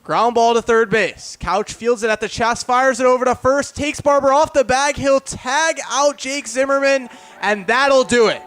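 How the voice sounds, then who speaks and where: A man's voice: extremely high pitch, fairly even intonation, moderate pace, a bit bright. A young man doing live radio baseball play-by-play, with vivid descriptive calls that rise with the action. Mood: excited. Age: young adult. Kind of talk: live radio sports commentary. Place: outdoors at a ballpark.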